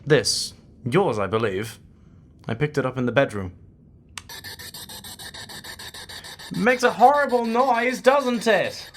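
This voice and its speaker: An actor in an audio drama playing a commanding, wry leader of secret investigators who is gruffly kind, speaking in a measured, authoritative way. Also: male voice, fairly high pitch, animated, a bit bright, a little hiss or hum. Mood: wry, probing.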